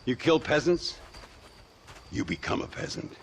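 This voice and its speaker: An actor playing an aging, wry and world-weary gunslinger in a Western video game, with a gravelly, drawling, theatrical delivery. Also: male voice, a little high, very animated, unhurried, slight background noise, heavily acted. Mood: mocking, wry. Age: older. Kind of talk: game cutscene acting, Western drama.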